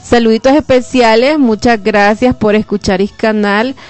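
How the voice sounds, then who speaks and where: A woman's voice: on the high side, fairly even intonation, normal speed, darkish tone. A woman with a Salvadoran Spanish accent hosting a live radio show, chatting and greeting listeners. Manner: friendly, chatty, reads listener messages aloud. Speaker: radio host. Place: radio studio.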